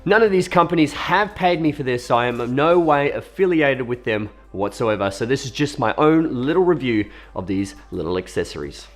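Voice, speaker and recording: Hoarse young Australian man, lively tone. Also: mid-range voice, normal speed, few pauses. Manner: chatty, upbeat, with fillers and asides. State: hoarse from a cold. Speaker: video creator reviewing camera gear. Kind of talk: casual talk to camera.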